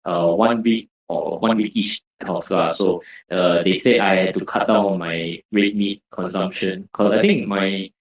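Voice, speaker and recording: A man's voice: low-pitched, steady tone, unhurried, few pauses. A man with a Malaysian English accent, a guest in a casual interview, laid-back and trailing off. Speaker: podcast guest. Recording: roomy echo.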